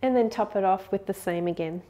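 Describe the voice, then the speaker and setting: A woman's voice: mid-range voice, brisk, mellow. A woman in her thirties hosting a cooking show, chatting upbeat and explaining each step in her home kitchen. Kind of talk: friendly recipe demonstration.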